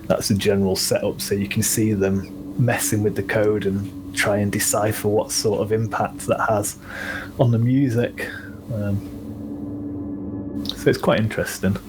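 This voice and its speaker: A man with a Manchester accent, a guest musician in a casual podcast chat, talking in a low-key, rambling way with many ums. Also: level tone, measured pace. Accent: Northern English (Manchester). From England. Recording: audible background noise.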